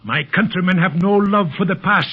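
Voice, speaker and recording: An actor playing a grave, cautious Tibetan chief with formal wording and a husky voice in a 1930s radio drama. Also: male voice, high voice, fairly quick. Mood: ominous. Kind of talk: 1930s radio serial acting. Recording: muffled, lo-fi 1930s radio recording.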